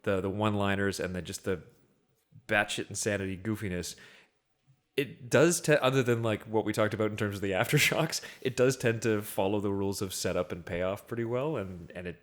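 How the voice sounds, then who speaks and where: A man co-hosting a casual movie podcast, joking and riffing in an animated way. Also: lowish voice, a little fast. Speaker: podcast co-host.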